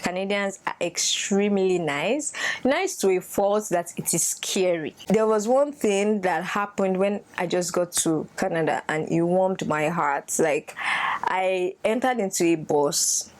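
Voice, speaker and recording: A woman from Nigeria with a Nigerian accent, telling casual stories on her vlog in an animated way, slipping into Yoruba phrases. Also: on the low side, measured pace. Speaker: vlogger.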